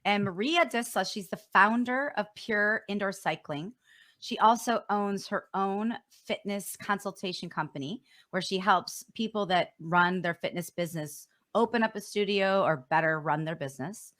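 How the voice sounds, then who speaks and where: A woman co-hosting a live-streamed business show, speaking in a bright, forceful voice. She talks in a chatty, eager way, full of casual fillers, as she introduces guests and shares her reasons for the show. The sound comes over a video call. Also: medium pitch, normal speed. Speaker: co-host. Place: San Diego.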